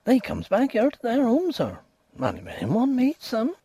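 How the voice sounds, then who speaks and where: A man reading an audiobook, voicing a placid, superstitious old peasant housekeeper in rustic dialect, with a husky voice. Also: extremely high pitch, wildly swooping pitch, a little slow, warm tone, no echo, voice pushed up, full character voice. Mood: ominous. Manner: rustic dialect grammar, grave and ominous. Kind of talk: audiobook character voice.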